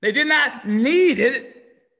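A middle-aged man preaching an impassioned sermon from home over a video call, swinging from shouts down to hushed near-whispers in a repetitive cadence. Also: extremely high pitch, expressive, slow pace, gravelly. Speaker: pastor. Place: home room with window blinds. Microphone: video call webcam. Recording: video call audio with long reverb.

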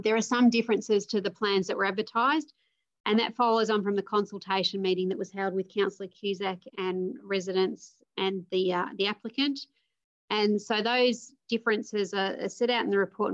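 A woman in her forties with an Australian accent, speaking as a councillor at a formal meeting, measured and careful as she reads out motion wording. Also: medium pitch. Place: home or office room on a video call. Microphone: video call. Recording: dull lo-fi video call audio.

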